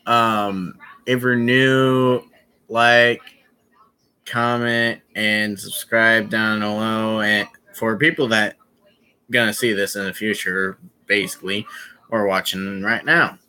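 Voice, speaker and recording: A young man chatting casually to his viewers on a live stream, rambling and halting, with many fillers and restarts. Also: lowish voice, flat delivery, pushed and bright, smooth, poor audio.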